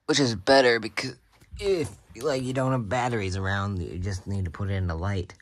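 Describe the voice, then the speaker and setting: A man co-hosting a casual, hands-on gadget review in a halting, tentative, informal way. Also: on the low side, fairly even intonation. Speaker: radio reviewer, co-host. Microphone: phone filming close by. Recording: noisy amateur phone recording with static.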